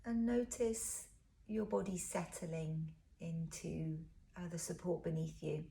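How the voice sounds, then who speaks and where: A woman leading a guided body scan as a relaxation teacher, speaking in a soothing, unhurried, gentle way, with soft breathy phrase endings. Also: lowish voice, fairly even intonation.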